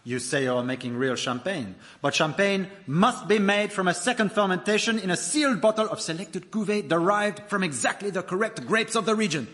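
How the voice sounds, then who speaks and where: An actor playing an officious, indignant French food-regulation enforcer with a French accent, barking pompously in a radio sitcom. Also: male voice, sits high, fairly quick, hardly any pauses, a bit bright, roomy sound. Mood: stern, accusing.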